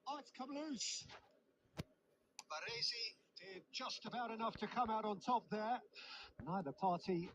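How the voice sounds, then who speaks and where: An eloquent football commentator in a video game, with a high-pitched voice. He calls play in polished, formal phrases and is quick to get excited. The audio is noisy, with clicking over it. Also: creaky, heavily acted. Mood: measured. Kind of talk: scripted live football commentary.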